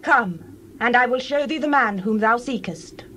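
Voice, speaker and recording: A woman's voice: on the high side, expressive, normal speed, fairly bright tone, slight background noise. A woman playing a welcoming, reassuring ancient tent-dwelling wife in a dramatized scripture reading. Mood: inviting.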